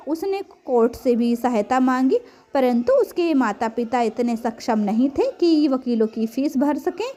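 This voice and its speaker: High-pitched woman narrating a story, softly toned. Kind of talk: scripted Hindi story narration, read aloud.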